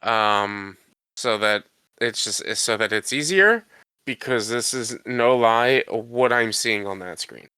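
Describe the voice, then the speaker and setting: A man's voice: fairly low pitch, takes it slowly, sharp tone, smooth, no echo. A man co-hosting a podcast while congested from a cold, rambling and defensive in casual chat. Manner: rambling, defensive, restarts often. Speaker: podcast co-host.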